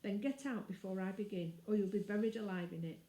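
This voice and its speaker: A woman's voice: mid-range voice, fairly quick, warm tone, natural voice. Storybook creature played by a woman: crotchety male sand fairy, offhand and brusque, roomy sound. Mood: grumpy, offhand. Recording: roomy indoor echo.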